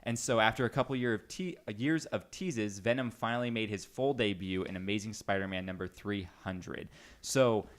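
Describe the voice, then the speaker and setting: A man's voice: on the low side, moderate pace. A man hosting a casual pop-culture podcast, joking and enthusiastic as he talks and reads out bits of comic history. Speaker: podcast host.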